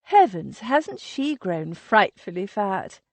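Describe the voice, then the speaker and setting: A woman's voice: medium pitch, sing-song, takes it slowly, dry recording, heavily acted. Sylvia, a woman voicing a catty gossiping young lady. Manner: catty, gushing, affected.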